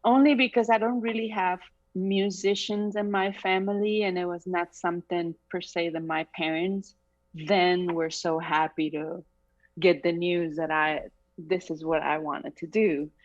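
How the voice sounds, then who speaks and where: A woman's voice: fairly low pitch, fairly even intonation, a little slow. A middle-aged woman from Chile with a Chilean Spanish accent, her first language being Spanish, talking reflectively in a casual interview and laughing often. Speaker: singer, interview guest. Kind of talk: casual conversation. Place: bright room at home. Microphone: video call. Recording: slightly dull video call audio.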